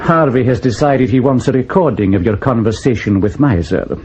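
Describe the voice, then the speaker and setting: A man's voice: medium pitch, lively intonation, moderate pace, a little mellow, husky. A man with a Scottish accent playing a dry, sardonic officer in charge of a surveillance job in a radio drama. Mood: dry, calm. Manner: wry, dry, commanding.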